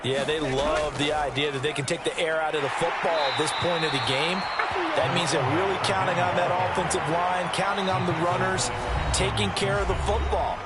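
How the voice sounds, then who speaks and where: A man's voice: a little high, fairly even intonation, moderate pace, a loud crowd, heavy background noise, lightly acted. A man playing a football color commentator in a video game, explaining the game in a folksy, conversational way. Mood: assured, chatty. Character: male American football analyst, folksy, chatty and explanatory.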